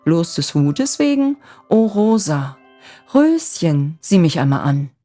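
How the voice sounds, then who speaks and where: An ardent young man from a storybook romance, acted out by a woman reader. He is cheerful and wheedling, pressing his case eagerly with very lively intonation. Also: lowish voice, slow, soft background music. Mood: wooing.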